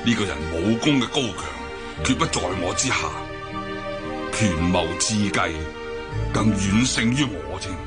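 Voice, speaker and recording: An actor playing a proud, brooding older deposed martial-arts cult leader in a Hong Kong Cantonese radio drama, declaiming and sighing theatrically. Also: male voice, fairly low pitch, lively intonation, moderate pace, close to an everyday voice. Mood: grave, resigned. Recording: music bed behind the voice.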